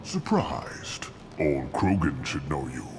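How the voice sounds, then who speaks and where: A man's voice: very deep voice, wildly swooping pitch, slow pace, heavily acted. A man playing a proud, contemptuous old alien warlord scientist in a video game, speaking in a pompous, theatrical way. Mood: impatient, scornful. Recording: loud ambient noise behind.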